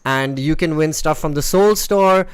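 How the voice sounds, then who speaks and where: Indian guy hosting a stream, kinda high voice, casual and rambly. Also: unhurried, smooth. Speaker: host of an online table read. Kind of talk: casual livestream chat.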